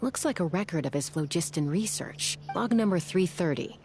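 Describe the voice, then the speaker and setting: An actress voicing a level-headed young woman scout in a video game, speaking in a measured, analytical way. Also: lowish voice, expressive, darkish tone, soft background music. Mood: calm.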